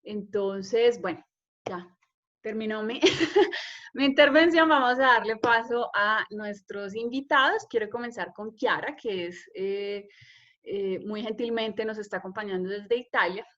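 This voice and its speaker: A woman's voice: medium pitch, measured pace, fairly bright tone, muffled recording. A woman moderating an academic panel, asking long layered questions in a courteous way.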